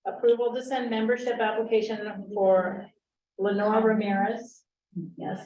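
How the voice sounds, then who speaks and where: A woman's voice: medium pitch, flat delivery, dark tone. Woman chairing a meeting from afar. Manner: brisk, procedural. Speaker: board chair. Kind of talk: running a meeting. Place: meeting room. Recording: echoey room, distant mic, dull sound.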